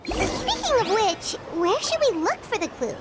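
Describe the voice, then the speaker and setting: Tiny floating girl companion, super squeaky and bouncy, music loud behind. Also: unhurried, heavy background noise, exaggerated voice. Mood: curious. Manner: high, squeaky, excitable. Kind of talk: video game voice acting.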